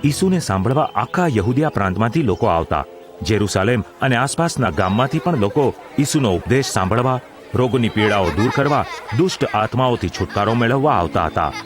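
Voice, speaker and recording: A man's voice: on the low side, expressive, brisk. A man narrating a scripted audio drama in a formal, literary storytelling manner. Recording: music under the voice.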